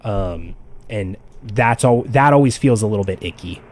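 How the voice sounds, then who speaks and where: A man giving casual art critique on a live stream, talking loosely with lots of fillers, his voice getting raspy. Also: lowish voice, animated, normal speed, a little mellow. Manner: loose and chatty, with many "like" fillers. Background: faint background music.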